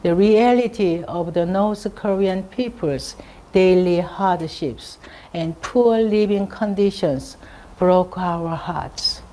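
A woman giving a scripted formal conference speech in a measured manner. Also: fairly low pitch, warm tone. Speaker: conference speaker reading a prepared presentation.

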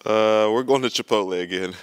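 A man's voice: fairly low pitch, brisk, clear, resonant tone. A man in his thirties answering interview questions with playful jokes and laughing asides, into a handheld mic in an indoor church hall. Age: 30s. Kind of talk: casual joking answers. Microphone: handheld mic held by interviewer.

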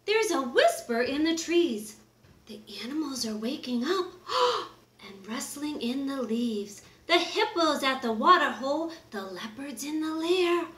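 A middle-aged woman reading a children's picture book aloud in an exaggerated, expressive way, with drawn-out words. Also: high-pitched. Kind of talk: animated children's story read-aloud. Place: small wood-paneled room indoors. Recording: roomy, echoing indoor recording.

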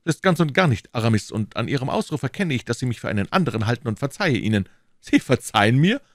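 A man's voice: medium pitch, very animated, rapid-fire, no echo, studio quality, voice pushed up, close to an everyday voice. A man voicing a haughty, courteous and commanding disguised nobleman in an audiobook. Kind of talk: audiobook character acting.

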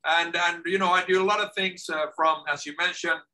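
A middle-aged man from Finland, a guest on a video call from his home office, talking in a lively way and often repeating words as he starts a sentence. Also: high voice, brisk, low-quality recording. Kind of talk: casual video-call interview.

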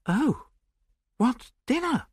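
A man voicing an earnest, impatient gentleman sidekick in an audiobook, urgent and politely tactless. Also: mid-range voice, wildly swooping pitch, moderate pace, breathy edge, no echo, studio quality, pitched above the performer's own voice, exaggerated voice.

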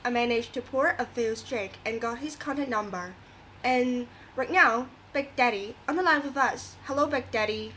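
A woman's voice: on the high side, moderate pace, sharp tone, a little hiss or hum. A woman playing an earnest, moralizing talk show host in a scripted radio drama, reading didactically.

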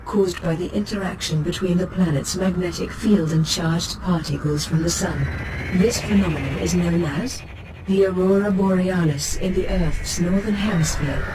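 Actor doing a calm ship computer ai, formal encyclopedia readout, measured and exact, clear background noise. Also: mid-range voice, close to an everyday voice. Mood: calm, informative. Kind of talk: scripted encyclopedic narration. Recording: clear noise, spaceship ambience behind.

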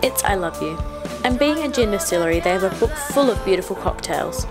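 A woman's voice: mid-range voice, animated, fairly quick. A young woman in her twenties chatting to a handheld camera as she tastes food in a busy restaurant. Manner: chatty, bubbly, food tasting. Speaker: vlogger. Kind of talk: casual vlog talk to camera. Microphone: handheld camera at arm's length. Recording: noisy restaurant with loud music and crowd. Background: music and crowd chatter.

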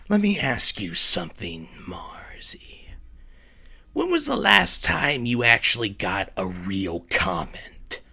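A man voicing a cruel mirror reflection that sneers and taunts, swinging from hiss to shout in a dramatic reading. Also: deep voice, husky, exaggerated voice. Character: cruel mocking male mirror reflection tormenting its owner.

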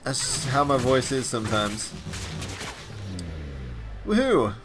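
A man hosting a let's play, commenting on gameplay in an excitable, enthusiastic way, his voice raspy and a bit stuffed up. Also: medium pitch, slow pace, music in the background.

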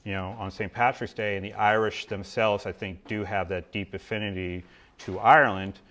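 A man's voice: lowish voice, fairly even intonation, normal speed, fairly bright tone. A man giving a history talk at a podium in a lecture hall, then taking audience questions, with a conversational manner and frequent restarts.

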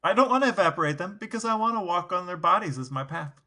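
A man's voice: high voice, animated, quick pace, bright, forceful tone. A man co-hosting a podcast, chatting casually and telling anecdotes. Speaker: podcast co-host.

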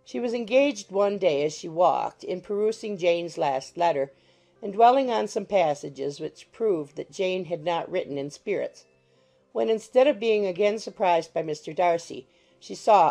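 A woman's voice: on the low side, animated, normal speed, gravelly, mellow. A woman narrating a classic novel as a scripted audiobook, in a literary reading style. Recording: music behind the voice.

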